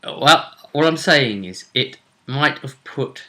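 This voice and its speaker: A man co-hosting a casual recap of a tabletop game as game master, telling it in a measured storyteller's way with pauses. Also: medium pitch, expressive, bright, forceful tone.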